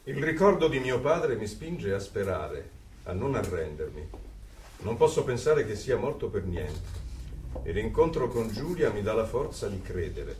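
A man's voice: lowish voice, sing-song, a little slow, a little mellow. An actor playing a resolute male dissident, giving an earnest, reflective inner monologue in a radio drama. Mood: earnest resolve. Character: hopeful, resolute male dissident narrating his inner thoughts. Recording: roomy, distant, faint ambient noise.

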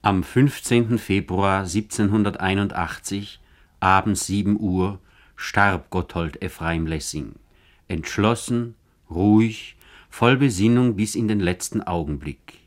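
A man narrating a scripted literary radio feature in a measured, formal manner. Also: low-pitched.

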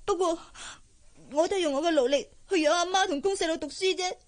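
A meek, high-voiced young housemaid. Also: female voice, fast talker. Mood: pleading. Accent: Hong Kong. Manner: timid, deferential, plaintive. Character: meek, humble young housemaid from a poor family. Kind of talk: Cantonese radio drama acting.

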